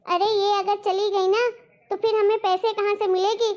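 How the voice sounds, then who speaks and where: A woman voicing a greedy, bossy middle-aged mother-in-law in a Hindi cartoon drama, nagging and insistent, with a pitch-shifted voice. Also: level tone, normal speed, darkish tone. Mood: scolding, scheming. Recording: dull lo-fi sound.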